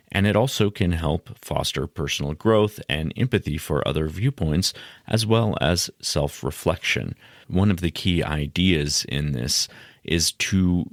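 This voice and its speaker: A man hosting a solo educational podcast, explaining ideas in measured, partly scripted phrases. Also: rumbling low, gravelly, no echo, clean studio sound. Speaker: podcast host.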